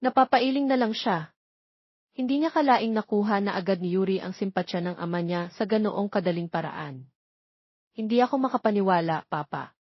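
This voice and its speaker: Husky woman narrating, dull dry recording. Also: mid-range voice, normal speed, no room sound. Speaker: storyteller, narrator. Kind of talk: scripted narration of a romance story with acted dialogue. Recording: dull lo-fi, close dry sound.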